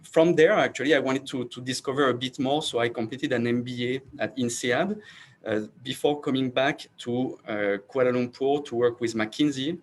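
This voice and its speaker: A man's voice: medium pitch, steady tone, moderate pace, a little hiss or hum. Hesitant Frenchman on a video call. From France. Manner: hesitant, many repeated words and fillers. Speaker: invited panel speaker. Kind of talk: online panel self-introduction.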